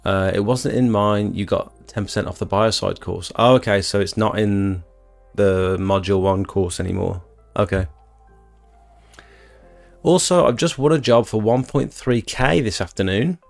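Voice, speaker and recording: Low-pitched British man with gravelly creak. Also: normal speed, soft background music. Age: 30s. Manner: informal, chatty, rambling. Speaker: livestream host, exterior cleaning tradesman. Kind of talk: casual live Q&A chat, reading out viewer comments. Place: small home bedroom doubling as an office.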